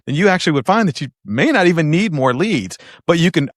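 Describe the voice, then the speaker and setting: A man's voice: a little high, big pitch swings, fast, no echo, clean studio sound. A man hosting a podcast interview, asking conversational, guiding questions. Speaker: podcast host.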